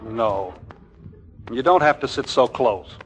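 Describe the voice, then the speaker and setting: An actor playing a bashful, flustered young army lieutenant who hesitates and stammers, in a 1940s radio comedy. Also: male voice, mid-range voice, expressive, slow pace, faint music behind. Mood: nervous. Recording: 1940s radio broadcast recording.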